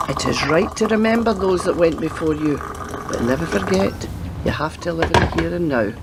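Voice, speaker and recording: A woman's voice: deep voice, lively intonation, normal speed, noisy background. An actress playing a chatty, doting and cheeky old grandmother in a radio play, fussing and teasing as she talks. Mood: earnest, advising.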